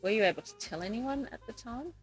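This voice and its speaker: A woman's voice: fairly high pitch, lively intonation, a little fast, faint music behind. A woman hosting a podcast, interviewing guests in a conversational way. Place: couch in a room in Nakasi.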